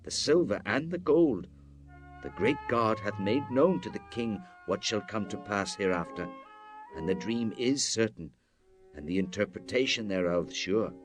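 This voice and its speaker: A devout prophet in a scripture drama, proclaiming with solemn fervour. His pitch swings widely between reverent, weighty pauses. Music plays clearly behind him. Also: lively intonation. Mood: grave, proclaiming.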